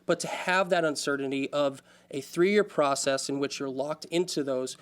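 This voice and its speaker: A man's voice: a little high, a bit bright, clean tone. Earnest man speaking on a panel. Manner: earnest, halting with restarts. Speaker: public access board member. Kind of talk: panel discussion. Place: community media forum.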